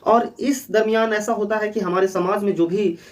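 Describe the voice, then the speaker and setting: A man's voice: sits high, fairly even intonation, normal speed, recorded from a distance. A man hosting an explainer for viewers, talking in an earnest, instructive way and repeating key points. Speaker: host of a legal awareness channel.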